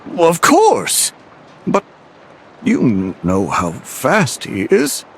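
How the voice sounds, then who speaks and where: An actor playing an anxious young knight messenger, reporting in a hurried, breathless way in a video game. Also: male voice, mid-range voice, wildly swooping pitch, creaky, slight background noise, heavily acted. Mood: urgent.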